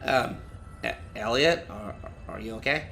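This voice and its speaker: A man's voice: medium pitch, a little slow, creaky, bright, forceful tone, slight background noise. A man playing an awkward, well-meaning boss in an audio drama, hesitant and stammering a little. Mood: awkward, polite. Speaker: voice actor.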